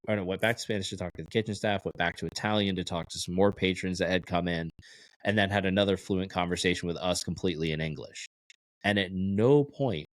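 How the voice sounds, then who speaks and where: A young man from Texas co-hosts a casual podcast with a very deep, low voice. He tells animated stories and slips into the voices of the people he quotes. The sound is dry and close, with no room echo. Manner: animated storyteller, acts out quoted exchanges. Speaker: podcast co-host. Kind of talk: casual chat.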